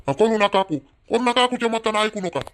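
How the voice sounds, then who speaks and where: Guy playing a young village son, whiny n high, stammery excuses, vidarbha accent. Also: fairly quick, a bit bright, a little hiss or hum. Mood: defensive, pleading. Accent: Vidarbha Marathi. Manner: pleading, stammering. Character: whining, defensive young village son in his twenties. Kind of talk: village cartoon dialogue.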